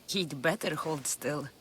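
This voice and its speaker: A woman's voice: low voice, slight background noise. An actress playing a tough young female mercenary in a video game cutscene, blunt, dry and sardonic.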